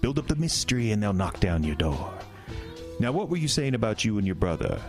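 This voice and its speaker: An actor playing a sly, sinister male carnival owner who talks in a smooth, teasing, drawn-out way in a horror radio drama. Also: on the low side, very animated. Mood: insinuating. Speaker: carnival showman. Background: music score.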